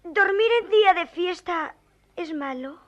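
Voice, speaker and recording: A woman's voice: extremely high pitch, measured pace. An actress playing a naive young woman in a classical verse comedy, in vintage radio theatre style. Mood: plaintive, naive. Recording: dull archive radio recording. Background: faint music.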